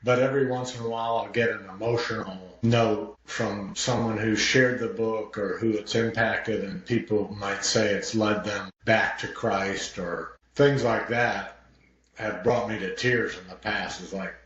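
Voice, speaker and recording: A middle-aged man giving a casual interview as a guest author, speaking in a halting, reflective way and trailing off. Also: fairly low pitch, fairly even intonation, fairly bright tone. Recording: very echoey, muffled, faintly noisy room audio.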